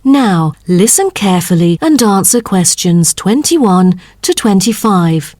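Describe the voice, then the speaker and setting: A woman reading scripted exam instructions as an announcer, formal and deliberate. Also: mid-range voice, animated, darkish tone, dry recording, studio quality. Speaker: test announcer.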